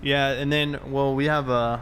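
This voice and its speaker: A man's voice: medium pitch, flat delivery, measured pace, fairly bright tone. Casual male game streamer, faint vehicle noise. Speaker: livestreamer playing a police officer. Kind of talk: casual livestream chat over gameplay.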